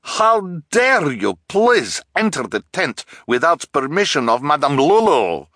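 A man voicing a bad-tempered fake fortune-teller woman who shouts in a put-on odd accent and broken English. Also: mid-range voice, big pitch swings, a little slow, no echo, studio quality, voice pushed up. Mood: furious. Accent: put-on odd foreign accent. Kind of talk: audiobook character reading.

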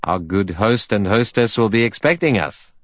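A man's voice: lowish voice, animated, normal speed, no room sound, studio quality, voice pushed up, lightly acted. A man voicing a big, bluff colonial in an audiobook, breezy, hearty and eager to be sociable. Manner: breezy, hospitable, hail-fellow. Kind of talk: audiobook character dialogue.

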